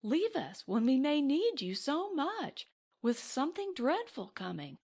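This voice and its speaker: A woman's voice: high voice, very animated, unhurried, darkish tone, pitched above the performer's own voice, exaggerated voice. A woman voicing a frightened, genteel young woman who pleads imploringly in an audiobook western. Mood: pleading, frightened.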